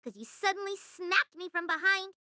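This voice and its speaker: A woman's voice: extremely high pitch, animated, normal speed, sharp tone, dry recording, clean studio sound, exaggerated voice. A woman voicing a prim, prickly elementary school girl who speaks in formal, haughty, blunt sentences in an acted character performance. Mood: indignant. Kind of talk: acted dialogue, animated-character delivery.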